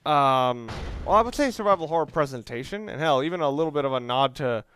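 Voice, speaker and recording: Higher-voiced man doing casual game commentary. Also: expressive, fast, a bit bright, a little hiss or hum. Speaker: video game let's play commentator. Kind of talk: casual gameplay walkthrough commentary. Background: video game music and sound.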